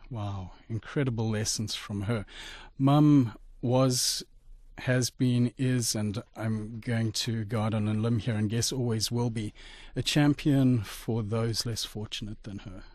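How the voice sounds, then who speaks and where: A man's voice: fairly low pitch, fairly even intonation, unhurried, a little mellow, no echo. Articulate Zimbabwe-raised man, dry close mic. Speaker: guest. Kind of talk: radio interview storytelling.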